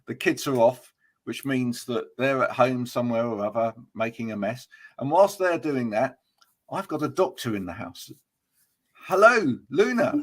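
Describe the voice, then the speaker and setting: A man's voice: medium pitch, lively intonation, normal speed. A man hosting a casual interview, talking in a chatty, friendly way with frequent restarts. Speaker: host.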